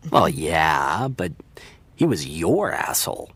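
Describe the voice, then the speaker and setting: A man playing a gentle, easygoing actor and supportive confidant in an audio drama, measured and dryly teasing. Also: extremely low pitch, very animated, slightly rough, dry recording, heavily acted. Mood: sympathetic, dry. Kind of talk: naturalistic audio drama dialogue.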